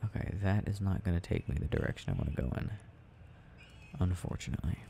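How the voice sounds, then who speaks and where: Man as drowsy gamer boyfriend: tender, hesitant murmur, mellow and very gravelly, intimate ASMR delivery. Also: sits low, expressive, quick pace, a little hiss or hum. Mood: absorbed, mildly puzzled. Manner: murmuring, dropping into whispers, hesitant self-talk. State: sleepy. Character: sleepy, tender, sensitive boyfriend rambling while gaming. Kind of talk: soft intimate ASMR roleplay, unhurried rambling.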